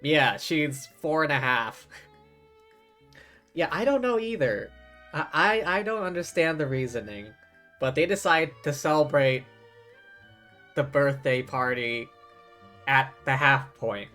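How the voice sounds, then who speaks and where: A game streamer with a mid-pitched voice talks at an unhurried pace. The delivery is casual and easygoing, with some hesitation, and game music plays behind it. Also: lively intonation, pushed and bright. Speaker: streamer, host. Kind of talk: casual livestream chat while playing a game.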